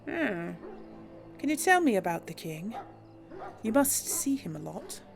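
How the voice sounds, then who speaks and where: A woman's voice: mid-range voice, big pitch swings, measured pace, vocal fry, soft background music. A woman hosting a casual role-play game video, talking playfully as she goes. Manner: playful, chatty, laughs often. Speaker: let's play host.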